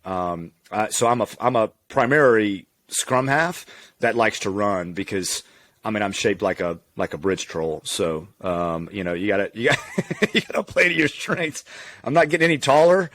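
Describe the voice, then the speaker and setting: A man from Louisiana, maybe in his thirties, a physical therapist telling stories in a relaxed, candid podcast interview and laughing easily. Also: lowish voice, moderate pace, no echo. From Louisiana, USA. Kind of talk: casual conversational interview.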